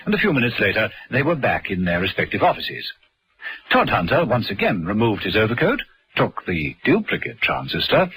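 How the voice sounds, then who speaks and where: A man's voice: fairly low pitch, sharp tone, rough recording. A man with a British accent reading scripted narration for a vintage radio drama. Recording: vintage radio broadcast, music behind.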